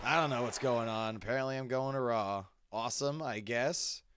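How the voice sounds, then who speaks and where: A man giving casual, sarcastic reaction commentary as host, talking in an animated way. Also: mid-range voice, moderate pace, slight background noise. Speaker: host, performer from the game commenting on its cut scenes.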